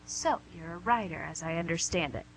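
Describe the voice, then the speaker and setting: A woman's voice: fairly low pitch, fairly quick, close to an everyday voice, poor audio. A woman playing a suave female devil boss in an amateur radio comedy, pedantic, condescending and mock-polite. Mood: teasing, sly. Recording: amateur radio recording with hum, noise.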